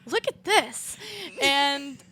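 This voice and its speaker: A woman's voice: sits high, animated, very slow, bright, forceful tone. A young woman from New Hampshire, a rhythm guitarist and student, chatting breezily and laughing. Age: young adult. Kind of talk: casual chat.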